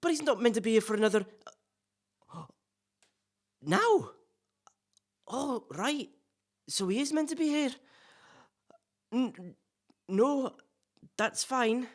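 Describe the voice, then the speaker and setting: Casting: male actor as nervous, deferential podcast presenter for comic audio drama, lively swooping delivery, eager to please. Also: very high voice, takes it slowly, pauses often, exaggerated voice. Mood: surprised, flustered. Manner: hesitant, apologetic, nervously deferential. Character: flustered, polite, eager-to-please male celebrity podcast host. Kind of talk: comic audio play acting.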